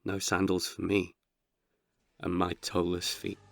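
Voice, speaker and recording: A man performing scripted narration of a comic horror tale as a dramatic storyteller, with hushed suspense and deliberate pauses. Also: low voice, monotone, creaky.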